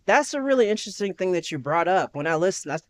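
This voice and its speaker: A man's voice: high-pitched, very animated, quick pace, no echo. A young man hosting a podcast interview, enthusiastic and affirming, tossing in "heck yeah" often. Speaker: podcast host. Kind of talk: casual interview.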